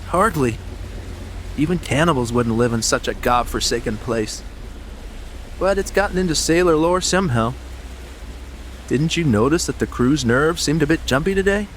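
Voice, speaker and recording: An actor playing an uneasy, superstitious yachting companion in an audiobook drama, earnest, hesitant and brooding. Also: male voice, a little high, expressive, normal speed, long pauses, a little hiss or hum, lightly acted.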